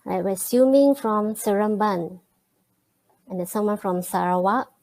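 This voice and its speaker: A woman from Malaysia, hosting a live programming webinar, chatty and friendly, with frequent ums and pauses. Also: mid-range voice, a little mellow. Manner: friendly, many fillers and pauses. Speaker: webinar host and programming teacher. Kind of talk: live online webinar greeting and intro.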